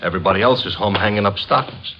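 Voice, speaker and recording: Jittery wisecracking working-class cabdriver played by a male actor, lowish voice, muffled vintage radio play sound. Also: fast, over music, close to an everyday voice. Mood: grumbling nervous. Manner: streetwise slangy grammar, grumbling, hesitant. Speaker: supporting actor, sidekick cabdriver. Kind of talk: 1950s radio drama acting. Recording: muffled, noisy old radio recording.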